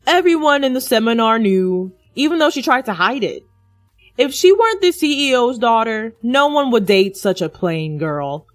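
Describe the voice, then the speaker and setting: An arrogant young man, drunk and full of contempt, as a woman narrator acts him out. The delivery is mocking and scornful, in the manner of a dramatized story. The sound is dry, with soft music underneath. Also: fairly high pitch, sing-song, normal speed, dry recording, pitched above the performer's own voice. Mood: sneering. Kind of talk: dramatized audio story dialogue.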